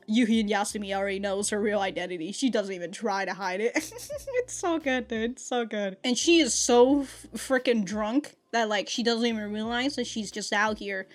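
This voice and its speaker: A woman giving casual, off-the-cuff reaction commentary in a slangy, profane, giggly manner. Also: medium pitch. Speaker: anime reaction reviewer.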